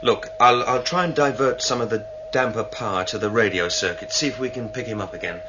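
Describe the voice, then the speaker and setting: A man's voice: on the low side, a little fast, natural voice. A man playing a sceptical, practical starship crewman in a 1980 sci-fi radio drama, dismissive and matter-of-fact. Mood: evasive. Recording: muffled, noisy old radio recording.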